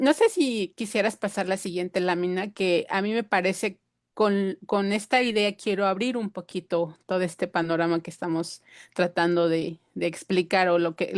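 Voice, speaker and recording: A woman whose first language is Purépecha gives a conference talk. She hesitates and restarts her sentences often. Her voice sits at a middle pitch and the sound is dry and close. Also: no room sound. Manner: hesitant, many fillers and restarts. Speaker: invited speaker.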